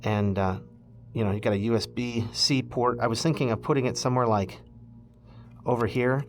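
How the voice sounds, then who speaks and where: A man's voice: mid-range voice, fairly even intonation, moderate pace. A man casually walking through a DIY electronics project, thinking aloud in an offhand, loosely phrased way. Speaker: electronics hobbyist host.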